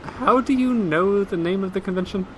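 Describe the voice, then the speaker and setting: Male actor as blunt magic user, slightly high voice, dry, pedantic, matter-of-fact, comedic audio drama, faint noise. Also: normal speed, natural voice, low-quality recording. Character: Mike, blunt unbothered magic user guiding his reluctant friend.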